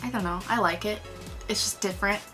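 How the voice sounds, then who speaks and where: A woman's voice: mid-range voice, fast talker, over music. A woman co-hosting a movie review in a casual, chatty, informal way. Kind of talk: casual film discussion.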